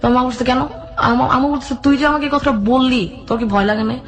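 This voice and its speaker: A woman interview guest tells a personal story at a quick clip. Her narration runs on, acting out each side of remembered conversations. Soft music plays behind her voice. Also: sits high. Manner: run-on narration, quotes both sides of conversations. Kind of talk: personal storytelling with re-enacted dialogue. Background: background music.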